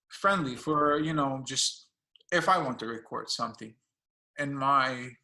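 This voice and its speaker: A man's voice: mid-range voice, a little slow, creaky, pushed and bright, reverberant. A man hosting a casual music interview, asking questions hesitantly with many fillers and encouraging his guest. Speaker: radio and blog host.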